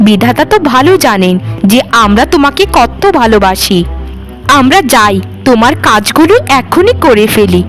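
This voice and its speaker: A woman's voice: fairly high pitch, expressive, moderate pace, close to an everyday voice. A woman voicing a meek, long-suffering young village housewife in a cartoon, speaking in an earnest, placating way. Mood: hurt, earnest. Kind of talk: village moral-story cartoon acting. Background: background music.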